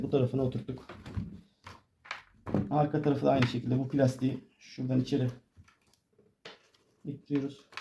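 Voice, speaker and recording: A man's voice: mid-range voice, gravelly, warm tone, slight background noise. A man giving a casual hands-on tutorial, explaining informally step by step as he works. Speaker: DIY tutorial presenter.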